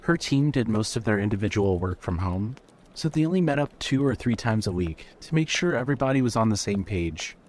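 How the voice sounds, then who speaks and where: A man's voice: lowish voice, lively intonation, brisk, slight background noise. A man giving scripted narration of a fiction story.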